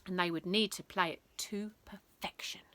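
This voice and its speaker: A woman reading a children's bedtime story aloud in a theatrical, expressive way, lingering on words. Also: medium pitch, moderate pace, no room sound.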